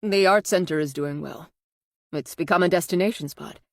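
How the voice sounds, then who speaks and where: Woman reading as a restrained, low-pitched, earnest man. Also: moderate pace, dry recording, studio quality, natural voice. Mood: polite, plain. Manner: restrained, self-conscious, plain-spoken. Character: earnest, slightly awkward man, a U.S. Marshal.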